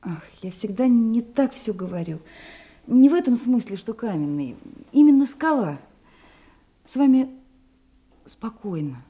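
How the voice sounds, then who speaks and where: A woman's voice: on the high side, lively intonation, unhurried, long pauses, warm tone, husky. An actress playing a sensitive, anxious woman shyly in love in a Russian radio play, impulsive and emotional. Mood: agitated, tender.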